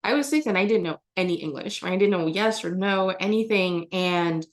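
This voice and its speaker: A woman's voice: medium pitch, a little fast. Chatty woman with many fillers. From Germany. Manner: chatty, frequent fillers and restarts, laughs often. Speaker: interview guest. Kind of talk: casual interview answers.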